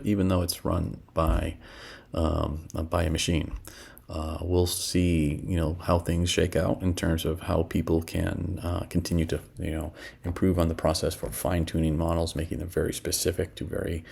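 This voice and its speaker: Middle-aged man, very deep creaky voice. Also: darkish tone. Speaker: product demo presenter. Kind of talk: casual screen-share demo talk. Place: small indoor room at home.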